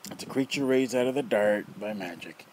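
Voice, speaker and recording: A man voicing a rough, taunting armless coffin maker in an audiobook reading. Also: on the low side, brisk, darkish tone, a little hiss or hum, pitched above the performer's own voice, close to an everyday voice, rough recording. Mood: boastful. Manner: rough, taunting, bursts of laughter. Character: armless gruff male coffin maker, mocking and menacing.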